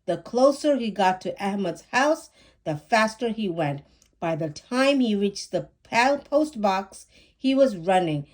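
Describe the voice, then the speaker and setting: A woman reads a children's story aloud at an unhurried pace. Her voice sits at a medium pitch, and she narrates expressively, in a measured read-aloud style. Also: expressive. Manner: measured, acts out dialogue.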